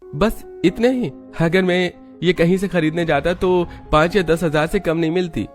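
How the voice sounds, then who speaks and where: A man's voice: high-pitched, steady tone, normal speed, soft background music, voice pushed up, natural voice. A man voicing a smitten, polite young man from a wealthy family in a cartoon, with an eager manner. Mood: admiring, pleading.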